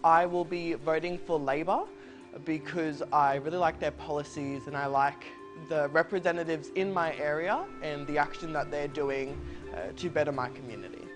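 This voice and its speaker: Interviewee: man, late teens, answering questions with clear music underneath. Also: a little high, moderate pace. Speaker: young voter interviewee.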